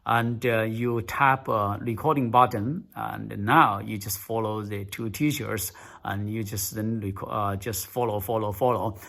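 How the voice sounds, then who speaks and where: A man coaching viewers on speaking English in a casual tutorial, talking haltingly with many fillers and words repeated for emphasis. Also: lowish voice, steady tone, vocal fry, fairly bright tone.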